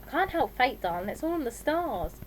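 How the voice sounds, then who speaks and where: A woman's voice: high voice, very animated, brisk, a little hiss or hum, voice pushed up, poor audio. A woman voicing a stubborn, bossy, middle-aged working-class mum in an audiobook, with a scolding, colloquial manner. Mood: insistent. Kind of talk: audiobook character voice.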